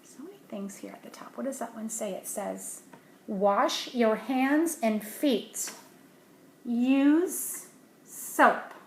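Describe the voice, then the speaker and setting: A woman with a slightly high voice reads a picture book aloud to children at a slow, patient pace. She keeps breaking off into gentle, hushed asides about the pictures. The recording is roomy and echoey. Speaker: storybook reader. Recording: roomy echo, faint background hiss.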